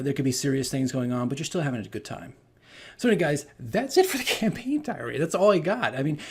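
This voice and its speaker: A man telling a casual, animated story as a game master on a vlog, rambling and repeating his phrases. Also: mid-range voice, husky. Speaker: tabletop game master recounting his campaign.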